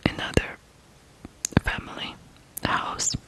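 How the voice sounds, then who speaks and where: An ASMR host from Ukraine telling a true crime story in a hushed, deliberate whisper.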